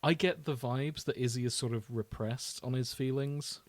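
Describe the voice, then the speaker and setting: Male podcast co-host. Informal, rambling, laughter-prone talker with an average-pitched voice, recorded close and dry. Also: moderate pace, no echo. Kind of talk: casual podcast chat.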